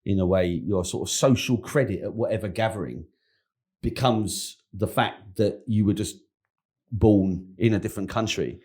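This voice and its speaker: A man with a London accent hosts an easygoing interview, his voice low-pitched and mellow. He talks conversationally, asks probing follow-up questions and calls his guest "mate". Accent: London English. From England (London). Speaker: podcast host. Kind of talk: casual interview chat.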